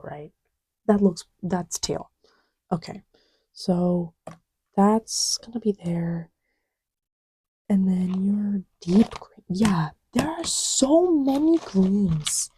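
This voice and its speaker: Soft woman kinda half whispering to herself, slow n mellow, casual asmr ramble. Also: medium pitch, lively intonation, breathy edge. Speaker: audio creator. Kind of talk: casual ASMR-style rambling while testing markers.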